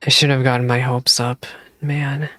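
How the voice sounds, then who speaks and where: A woman's voice: extremely low pitch, level tone, moderate pace, fairly bright tone, no echo. A woman streaming a game, casually reacting and reading the on-screen text aloud on a close microphone.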